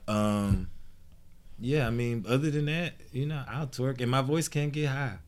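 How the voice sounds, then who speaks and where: A man with a deep voice talks casually among friends and tosses in sassy, playful asides. His pitch sits mid-range and his delivery stays loose and easygoing. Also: measured pace, a little mellow. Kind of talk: casual group discussion.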